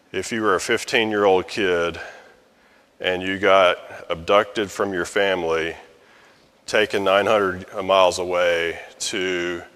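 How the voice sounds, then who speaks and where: Low-voiced male Bible study teacher, conversational and unhurried, long room reverb. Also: fairly bright tone. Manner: conversational, explains with fillers and restarts. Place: church meeting room. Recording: echoey hall with long reverb.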